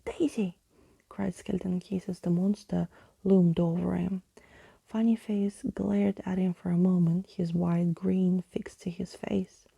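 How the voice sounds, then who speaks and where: Whispering, creaky-voiced woman reading slowly. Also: fairly low pitch, level tone, no echo. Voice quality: soft breathy whisper. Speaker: ASMR reader. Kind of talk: whispered ASMR storybook reading.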